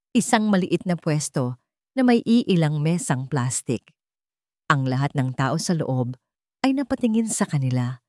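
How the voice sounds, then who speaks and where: A woman with a Filipino accent narrating a scripted dramatic story in a measured, solemn way. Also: fairly low pitch, warm tone, dry recording, clean studio sound.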